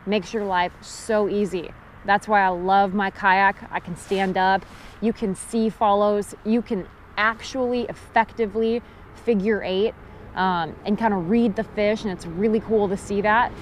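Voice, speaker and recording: A woman's voice: mid-range voice, steady tone, a little slow, slight background noise. Woman vlogging outdoors on a riverbank. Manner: friendly, practical, emphatic on safety rules. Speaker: fishing vlogger. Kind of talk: casual how-to talk to camera. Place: outdoors on a river gravel bank. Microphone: action camera held at arm's length.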